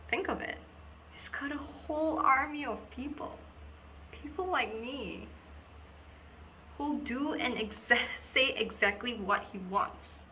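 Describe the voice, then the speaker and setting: A woman plays a frightened, earnest schoolgirl in a stage monologue. The delivery is slow and deliberate, broken by dramatic pauses. The tone is bright and intense, with faint noise in the room. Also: a little high, pitched above the performer's own voice. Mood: intense, fearful. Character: schoolgirl Dina, frightened and earnest, realizing she was hypnotized. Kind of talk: dramatic monologue audition reading.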